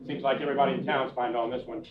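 A man's voice: mid-range voice, steady tone, very fast, crowd noise, close to an everyday voice. An older man acting a terse, matter-of-fact police detective in a 1950s-style radio drama. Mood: low-key. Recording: tinny, distant, roomy live stage recording.

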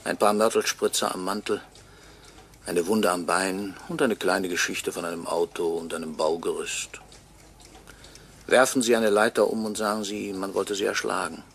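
An actor playing a bitter murder victim who narrates a radio crime drama with brooding, sardonic deliberation and a gravelly voice. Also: male voice, low voice, fairly even intonation, moderate pace, a bit bright, slight background noise. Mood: bitter irony. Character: bitter murdered watchmaker's employee, narrating from beyond death.

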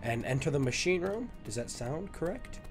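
A young man with a cold talks through a stuffed, nasal voice as he plays, chatting and mocking himself. His pitch swings lively up and down. He is on a headset mic in a small home room, with faint music behind. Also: moderate pace. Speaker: gaming video host. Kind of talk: let's play commentary.

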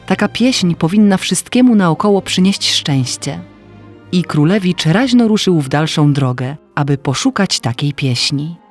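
A man narrating a scripted fairy tale as an expressive, literary storyteller. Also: on the high side. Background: faint music.

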